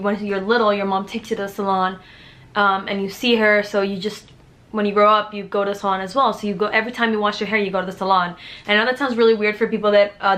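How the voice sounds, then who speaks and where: A woman's voice: mid-range voice, fairly bright tone. A young woman in her twenties from the Dominican Republic, talking openly to her camera in a small bedroom, earnest, with many fillers and restarts. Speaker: vlogger. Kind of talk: candid sit-down vlog. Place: small bright bedroom, indoors.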